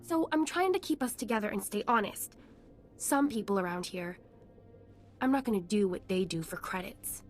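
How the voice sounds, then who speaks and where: A woman's voice: mid-range voice, lively intonation, normal speed, soft background music, close to an everyday voice. A woman playing a tough, protective, worn-down young refugee in a video game, blunt and guarded. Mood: defensive, worried.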